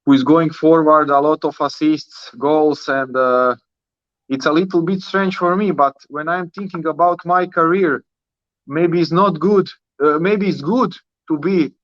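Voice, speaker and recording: A man in his early thirties with a Croatian accent, speaking casually in halting non-native English as a podcast guest, repeating and rephrasing himself. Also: a little high, fairly even intonation, a bit bright. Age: early 30s. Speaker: guest, professional footballer. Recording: roomy, slightly dull sound.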